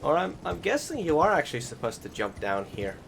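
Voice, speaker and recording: A man's voice: on the high side, big pitch swings, a little fast, vocal fry, background noise. A man giving casual, rambling voice-over commentary on a video game, often hesitating and searching for words. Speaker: gaming channel host. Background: music behind the voice.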